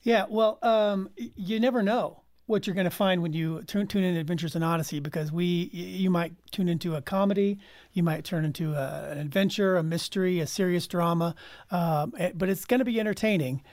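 A man chatting casually as a panel guest, explaining in a halting way and repeating words as he thinks. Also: sits high, darkish tone, dry recording, studio quality. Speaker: panel guest from an audio drama team.

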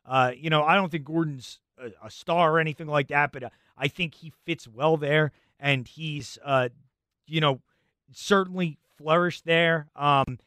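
A man's voice: a little high, unhurried, no room sound, clean studio sound. A young adult man hosting sports talk radio, conversational and filler-heavy. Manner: animated, conversational, many fillers.

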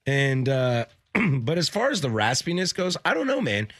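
A man co-hosts a baseball radio show. His voice is low and slightly raspy, and his pitch swings widely as he rambles and jokes. It is recorded close on a studio mic in a dry room. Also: huge pitch swings, normal speed, fairly bright tone, no room sound. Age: 30s. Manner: joking, rambling with fillers. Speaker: podcast co-host. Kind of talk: casual sports radio chat. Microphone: studio mic with headphones.

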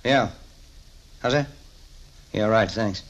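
A terse, low-key police partner with a fairly low voice. He talks plainly in a vintage radio drama, under heavy recording noise. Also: slow pace. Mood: matter-of-fact. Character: plainspoken male homicide detective, the sergeant's partner. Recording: very noisy old radio recording.

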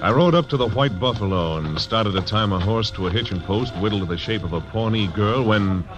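Terse, dry lawman narrator; very deep voice, dull vintage radio sound, music underneath. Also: a little fast. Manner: terse, dry, hard-boiled. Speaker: frontier marshal and narrator. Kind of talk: 1940s radio acting, hard-boiled narration. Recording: dull old radio broadcast over music.

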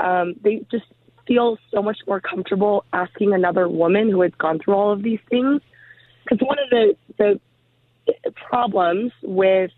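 A woman who has lost her voice to a cold, speaking hesitantly as a guest in a casual interview, filling pauses with ums. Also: mid-range voice, dry recording. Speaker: guest, program founder. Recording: tinny phone line.

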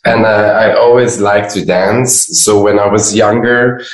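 A young man with a Belgian accent gives interview answers at a slow pace. His voice is fairly low, and the room echoes audibly around him. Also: fairly even intonation. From west Belgium. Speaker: podcast guest, dancer and receptionist.

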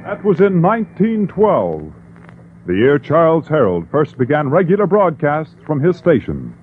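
A man's voice: a little high, wildly swooping pitch, normal speed, a little hiss or hum. A man narrating in an emphatic, theatrical scripted 1940s radio style. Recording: tinny 1940s radio broadcast.

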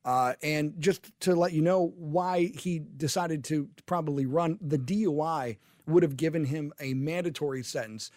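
A man's voice: fairly high pitch, normal speed, few pauses, darkish tone, dry recording, studio quality. A man hosting a talk radio show, speaking emphatically and opinionatedly, often restarting his sentences. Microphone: studio microphone.

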